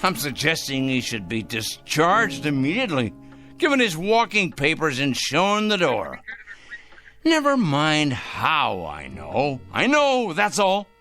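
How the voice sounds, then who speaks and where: A man's voice: fairly high pitch, huge pitch swings, slow pace, bright, forceful tone, faint music behind, heavily acted. An actor in a radio drama playing a fussy, self-righteous male fanatic who speaks in a prim, formal, emphatic way. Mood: accusing, insistent.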